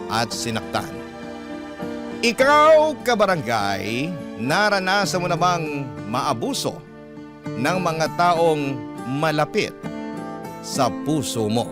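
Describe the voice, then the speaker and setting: Casting: male Filipino drama host, lively melodic range, dramatic letter-reading over prominent music. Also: a little high. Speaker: radio drama host and narrator. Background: background music.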